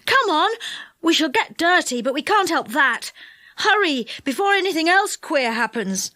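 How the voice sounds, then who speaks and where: A woman voicing an eager, adventurous young boy in a children's story, with an excited, urgent manner. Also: high-pitched, unhurried, dry recording, studio quality, exaggerated voice.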